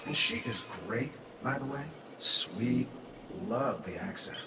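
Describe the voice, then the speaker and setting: A cocky gravelly AI. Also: male voice, medium pitch, lively intonation, slow pace, background noise. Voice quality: casual, cocky banter, calls his user boss. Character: cocky male AI weapons maker, brash and casual. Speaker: onboard AI guide. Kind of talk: video game voice acting.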